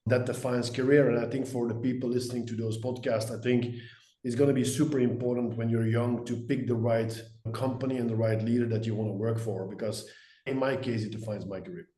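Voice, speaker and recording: A man with a Belgian accent speaks as an interview guest, recounting his working life. His delivery is fairly flat in pitch and his tone is mellow. The recording has a noticeable room echo. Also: brisk. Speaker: guest, sales executive. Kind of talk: interview answers, career storytelling. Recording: roomy, echoey sound.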